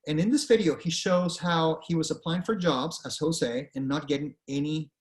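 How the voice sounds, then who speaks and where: A man doing casting: man with a Venezuelan accent and a fairly high voice, a direct speaker who talks students through the topic. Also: moderate pace. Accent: Venezuelan Spanish. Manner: earnest, direct, conversational with frequent okays. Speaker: career speaker. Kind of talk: presentation to students.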